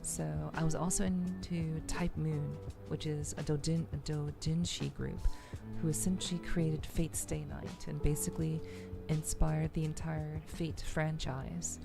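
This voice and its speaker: A woman's voice: sits low, little pitch movement, a little slow, gravelly, few pauses, over music. A woman streamer chatting casually to her live audience, thinking aloud and often repeating her words. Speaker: VTuber streamer. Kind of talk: casual livestream chat.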